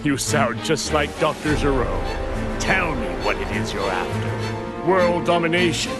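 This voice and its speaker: A man's voice: on the high side, expressive, a little slow, over loud music. A man voicing an arrogant, menacing alien villain in an anime game, sneering and theatrical. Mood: mocking. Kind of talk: anime game cutscene acting.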